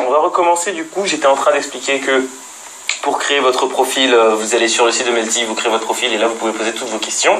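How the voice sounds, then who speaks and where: Teasing male live host, noisy recording. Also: medium pitch. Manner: informal, teasing, filler-heavy banter. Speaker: live stream host reading out viewers' questions. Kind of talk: casual, joking interview chat.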